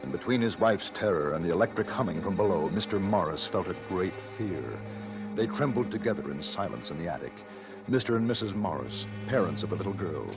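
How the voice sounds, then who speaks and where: An actor playing a grave, ominous narrator of an old radio thriller, with dramatic, suspenseful phrasing. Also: male voice, sits low, lively intonation, brisk, music in the background. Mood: tense. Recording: noisy old radio recording.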